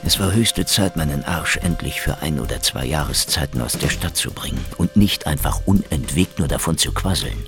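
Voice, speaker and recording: An actor playing a cynical, sardonic and defiant Soviet secret police detective in a hardboiled radio crime drama, with wry, dramatic delivery. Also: male voice, a bass voice, moderate pace, creaky, few pauses. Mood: wry, world-weary. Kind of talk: hardboiled radio crime drama, first-person narration and dialogue. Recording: music behind the voice.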